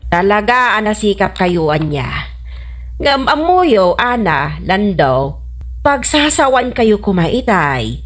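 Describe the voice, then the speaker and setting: A woman's voice: mid-range voice, sing-song, slow. An older neighbour woman played by an actress in a radio comedy drama. She grumbles and scolds in an exasperated way. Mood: scolding, exasperated.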